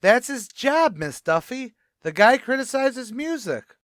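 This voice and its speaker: A man's voice: very high-pitched, sing-song, unhurried, fairly bright tone, no room sound, studio quality, exaggerated voice. A man playing a brash, slangy tavern host with a New York accent in an old-time radio sitcom. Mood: exasperated. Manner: slangy, mangled grammar, eager chatter.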